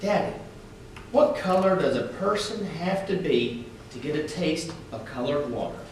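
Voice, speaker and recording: A man voicing an eager, worried young boy in a read-aloud picture book, raising his voice high and childlike. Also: expressive, normal speed, lightly acted. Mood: wondering. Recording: echoey room, distant mic, faint hiss.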